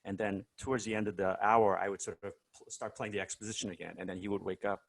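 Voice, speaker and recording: Low-voiced man telling stories, full of fillers. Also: fast, vocal fry. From South Korea. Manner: relaxed storytelling with many fillers and restarts. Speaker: guest musician. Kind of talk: casual conversational interview.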